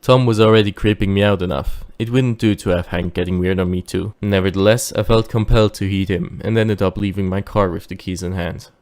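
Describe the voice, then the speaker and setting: A man playing a calm, shady driver who narrates his own tale in an audio drama. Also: low-pitched, brisk, hardly any pauses. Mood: measured, wry. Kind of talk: scripted first-person audio drama narration.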